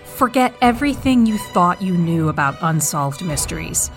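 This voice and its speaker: A woman announces a podcast trailer in a slightly low voice. Her delivery is scripted, grandiose and mock-dramatic, with some music underneath. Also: expressive, moderate pace. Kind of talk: scripted promotional narration.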